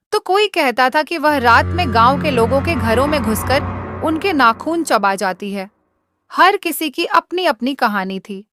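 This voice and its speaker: High-voiced woman narrating a story. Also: sharp tone, no room sound, studio quality. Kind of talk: scripted moral story narration.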